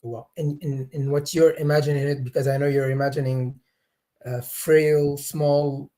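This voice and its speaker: A man calling into a live debate, halting and hesitant, stumbling over restarts while defending his view. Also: fairly high pitch, flat delivery, warm tone. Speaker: caller.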